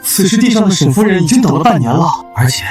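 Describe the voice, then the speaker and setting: A humble minor immortal, speaking politely with a higher-pitched voice. He sounds far from the mic in a very echoey space. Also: big pitch swings, quick pace, a little mellow, faint music behind. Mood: deferential. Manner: respectful, reporting. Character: deferential minor immortal official. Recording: distant and very echoey.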